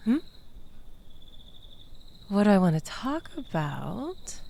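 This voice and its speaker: A woman's voice: medium pitch, sing-song, slow, vocal fry, mellow, heavily acted. A woman playing a manipulative, flirtatious ex-lover in an intimate audio roleplay, languid and teasing, coaxing the listener with theatrical pleading. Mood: wistful, coy.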